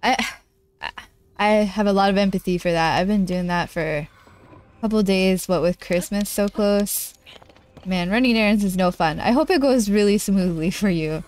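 A woman's voice: medium pitch, moderate pace, a little mellow. A young woman with a nasal, congested voice, chatting in a bubbly, giggly way with viewers while streaming a game. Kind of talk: casual live-stream chat while gaming.

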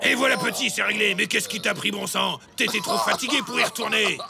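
A man voicing an exasperated grown-up talking down to a child in a French animated comedy. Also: high-pitched, fairly quick, vocal fry, loud music behind the voice.

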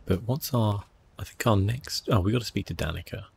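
A man giving relaxed ASMR gameplay commentary, thinking aloud with hesitant phrasing. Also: fairly low pitch, brisk, gravelly, a little hiss or hum. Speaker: gaming video host. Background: soft game music.

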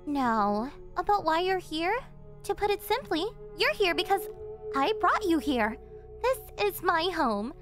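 An extremely high, swooping young woman's voice in an anime yandere roleplay. She is an obsessive captor who sounds sweet, theatrical and teasing, with possessiveness under the cheer. Faint music plays behind her. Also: fairly bright tone, exaggerated voice. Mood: calm, condescending. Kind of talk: yandere ASMR roleplay acting, anime-style.

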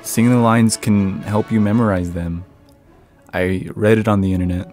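A man's voice: lowish voice, fairly even intonation, normal speed, darkish tone, soft background music, natural voice. A man playing an awkward, guarded teenage tough guy in a radio play. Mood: helpful, shy.

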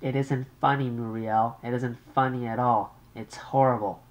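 Male narrator playing a fretful middle-aged mother, pitched high and loud, insistent and pushy. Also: steady tone, normal speed, muffled recording, close to an everyday voice. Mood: anxious. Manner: worried, insistent, interrupting.

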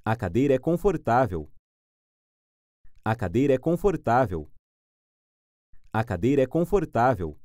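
Male language tutor, very slow and lively in pitch, dry close sound. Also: dry recording. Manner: repeats each phrase three times, clearly and deliberately. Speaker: language teacher. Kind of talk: slow phrase repetition for learners.

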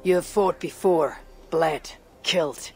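A woman playing a stern, weary Viking warrior leader in a video game, grave and measured. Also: deep voice, slightly rough, soft background music, full character voice. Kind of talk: dramatic game cutscene acting.

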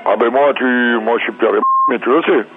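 A man's voice: medium pitch, fast talker. A man chatting casually on the phone, laconic and easygoing. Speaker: bar patron on the phone. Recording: tinny phone line, music behind.

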